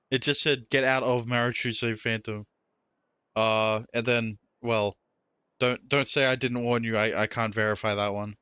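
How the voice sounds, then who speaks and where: A man's voice: mid-range voice, fairly even intonation, unhurried, pauses often, bright, forceful tone, clean tone, dry recording, soft background music. A man doing casual live gameplay commentary, informal, reactive and prone to swearing, close on the microphone. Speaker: game streamer.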